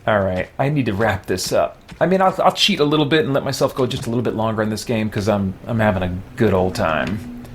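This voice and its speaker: A man's voice: on the low side, animated, fast, slight background noise. A man hosting a let's play, chatting casually in a rambling, reflective way while he plays. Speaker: gaming channel host.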